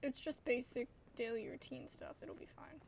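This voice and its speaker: A woman's voice: high voice, normal speed, gravelly, slight background noise. A young woman giving casual, slangy, skeptical reactions while playing a video game. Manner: informal, slangy, skeptical asides. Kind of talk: casual gameplay reaction commentary. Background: game music.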